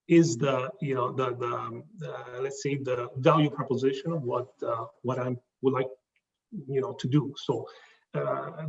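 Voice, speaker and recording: Halting Italian-accented man, distant lo-fi mic. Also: medium pitch, creaky. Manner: halting, many fillers and restarts. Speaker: presenter. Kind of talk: pitch presentation to a live audience.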